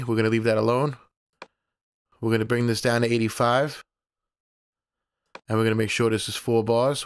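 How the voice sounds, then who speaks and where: A man presenting a casual step-by-step software tutorial in a plainspoken, informal, instructional way. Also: medium pitch, smooth.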